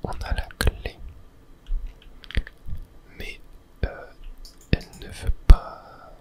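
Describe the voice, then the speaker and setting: A person whispering a casual ASMR commentary while playing a video game, thinking aloud in a tentative way. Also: very slow. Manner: hushed, unvoiced whispering. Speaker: gaming video host.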